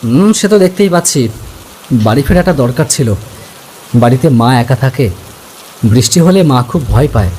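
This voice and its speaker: A man's voice: medium pitch, lively intonation, fairly quick, pauses often, darkish tone, lightly acted, low-quality recording. A man playing an earnest, curious young man who narrates and speaks in a cartoon ghost story. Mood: hesitant. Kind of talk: first-person story narration with dialogue. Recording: rain noise behind the voice. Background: rain ambience.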